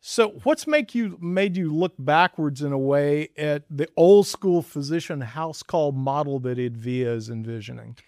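Male interviewer, somewhat high voice, encouraging and conversational, recorded close and dry. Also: measured pace, hardly any pauses, a little mellow, no room sound. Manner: affirming, encouraging. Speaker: interviewer, show host.

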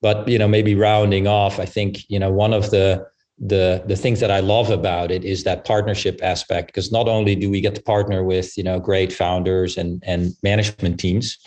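A man giving explanatory answers as an interview guest, halting and repeating words as he goes. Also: low-pitched, a little mellow. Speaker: podcast guest, investment firm managing partner.

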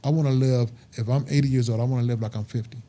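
A man's voice: mid-range voice, steady tone, quick pace, dark tone. A man in his fifties, a truck driver being interviewed, talking earnestly and emphatically and repeating his points. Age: 50s. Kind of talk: casual interview talk.

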